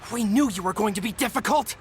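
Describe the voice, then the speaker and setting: A man's voice: high-pitched, fast talker, a little hiss or hum, close to an everyday voice. A man playing a brash, hostile gang leader in a video game. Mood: hostile, rallying.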